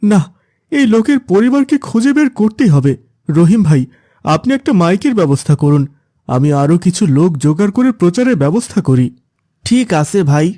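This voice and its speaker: A man's voice: sits high, big pitch swings, moderate pace, mellow, no echo. A man playing a concerned villager in a cartoon moral story, speaking earnestly and with urgency. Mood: alarmed, earnest. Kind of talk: cartoon dialogue.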